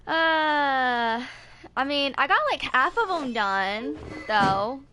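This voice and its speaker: A woman in her twenties chatting casually and haltingly to herself during gameplay, with game music behind her. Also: high voice, expressive, sharp tone, smooth. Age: 20s. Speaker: gaming video creator. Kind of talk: casual off-the-cuff gameplay chat.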